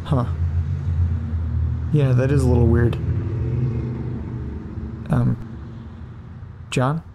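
A man's voice: medium pitch, steady tone, drawn-out words, vocal fry. A man playing an earnest, anxious amateur podcaster in naturalistic, hesitant audio drama dialogue. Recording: faint car noise. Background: car interior ambience.

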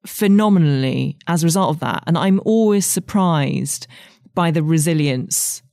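A woman's voice: low voice, normal speed, creaky, dark tone, dry recording, studio quality. A woman in her forties with an English accent, a writer speaking candidly and thoughtfully as an interview guest. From England. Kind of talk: reflective interview conversation.

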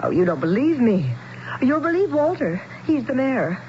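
An actress playing an excitable, awestruck young waitress in a radio drama, with a gushing, breathless and pleading delivery. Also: female voice, high-pitched, moderate pace. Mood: pleading, eager. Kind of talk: 1970s radio drama acting. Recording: dull radio broadcast with faint noise.

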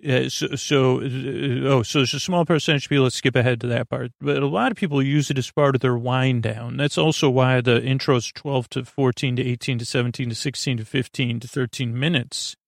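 A man with a mid-pitched voice full of vocal fry hosts a soothing sleep podcast. He wanders off topic, halts and restarts sentences, and sounds friendly and a bit goofy. The recording is close and dry, with very little room sound. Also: steady tone, no room sound. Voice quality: creaky. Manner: meandering, tangential, halting, trailing off mid-sentence. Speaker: podcast host.

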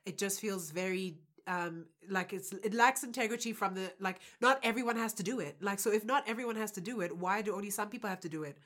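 A woman co-hosting a podcast in relaxed conversation, with a mid-pitched voice. She speaks bluntly and stresses her points hard, often repeating them for emphasis. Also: quick pace, few pauses. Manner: blunt, emphatic, agrees readily. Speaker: podcast co-host. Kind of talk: casual chat.

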